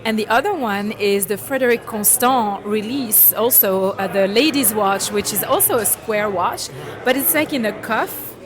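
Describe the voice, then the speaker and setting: A middle-aged woman from France, talking casually in an interview, animated and laughing, often trailing off and restarting. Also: mid-range voice, moderate pace. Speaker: interviewee, watch collector. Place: busy indoor event. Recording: crowd chatter behind.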